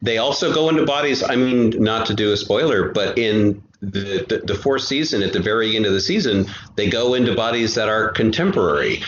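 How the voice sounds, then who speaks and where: A man's voice: lowish voice, animated, a little fast, hardly any pauses, fairly bright tone. A man speaking as a guest in a casual interview, explaining and rephrasing as he goes. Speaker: audio drama creator guest. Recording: dull, roomy sound with faint noise.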